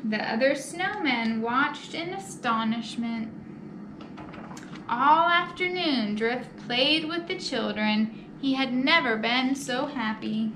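A woman's voice: high voice, slow. A young woman hosting storytime, reading a picture book aloud to children and addressing young listeners with simple questions. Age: young adult. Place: indoor children's library room. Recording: roomy echo, clear background noise.

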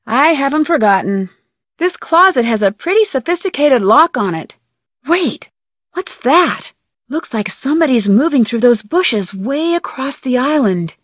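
A woman playing an upbeat, curious young female detective in a video game, youthful, perky and earnest, with clear diction. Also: high-pitched, sing-song, a little slow, no echo, studio quality, exaggerated voice. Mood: musing, matter-of-fact. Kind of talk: scripted video game voice acting, thinking aloud.

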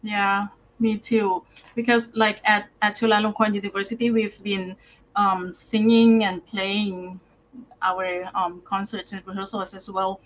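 A woman's voice: medium pitch, flat delivery, a little slow, slight background noise, rough recording. Middle-aged woman, friendly, hesitant, video-call host, laptop mic. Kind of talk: interview hosting. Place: home room.

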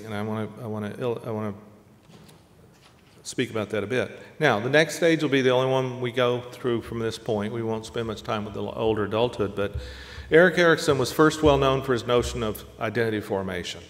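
A man in his sixties giving a conversational keynote lecture from a lectern on a stage, listing examples in short phrases. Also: medium pitch. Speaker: keynote speaker. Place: large dark auditorium stage at a lectern. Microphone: lectern microphone. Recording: reverberant auditorium sound.

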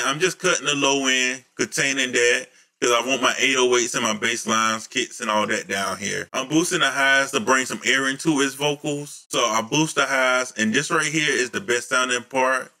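Male producer, 30s, bright tone, relaxed tutorial delivery into a home studio condenser mic. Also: mid-range voice. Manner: relaxed, explanatory, slows down reading settings. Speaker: music producer teaching vocal mixing. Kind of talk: casual tutorial walkthrough. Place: home room. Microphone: studio condenser mic on boom, headphones on.

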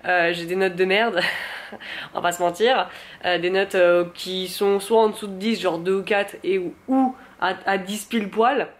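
A woman's voice: mid-range voice, fairly even intonation, unhurried. A young woman from France chatting casually to a handheld camera in her apartment, with a stuffy nose from a cold, chatty and repeating her words. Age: young adult. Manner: chatty, lots of fillers and repeated words, enthusiastic. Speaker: vlogger. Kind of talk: casual vlog chat to camera. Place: small bright apartment living room, indoors. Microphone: handheld camera at arm's length.

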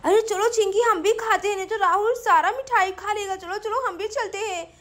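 An impatient little girl, put on by a woman, pipes up in an extremely high, squeaky voice. She is eager and childlike, from a children's doll tale. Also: moderate pace, fairly bright tone.

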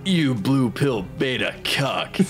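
A man's voice: mid-range voice, expressive, slow pace, big-room sound, music in the background. A man voicing a crude, boastful male anime villain in a fan-made comedy dub. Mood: mocking.

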